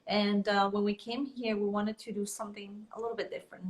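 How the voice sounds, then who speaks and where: A woman from Colombia, a guest business owner, talking earnestly in a casual interview with fillers and pauses. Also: mid-range voice, flat delivery.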